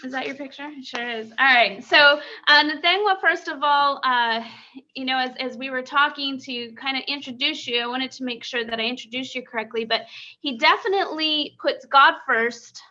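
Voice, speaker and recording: A woman's voice: high voice, normal speed, pushed and bright. A woman hosting a live-streamed interview, upbeat and full of "um"s. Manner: upbeat, filler-heavy. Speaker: host. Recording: lo-fi stream audio.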